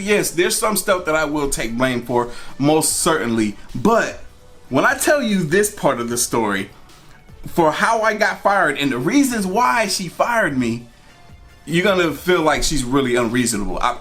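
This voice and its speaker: Male storytime vlogger, slightly high voice, conversational and emphatic delivery, music underneath. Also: fairly bright tone. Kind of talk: casual personal storytelling.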